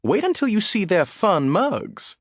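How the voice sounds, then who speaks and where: A man's voice: sits high, very animated, a little slow, dry recording, studio quality, voice pushed up, full character voice. A man voicing a chatty woman in her seventies in an audiobook, eager and sing-song. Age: 70s. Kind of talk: audiobook character voice.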